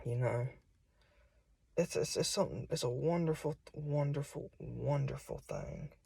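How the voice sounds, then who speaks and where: A man's voice: mid-range voice, wildly swooping pitch, measured pace, creaky, warm tone, a little hiss or hum. A young man with a Southern US accent, preaching in an informal, folksy and conversational way. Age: young adult. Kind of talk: informal sermon. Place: solo self-recording.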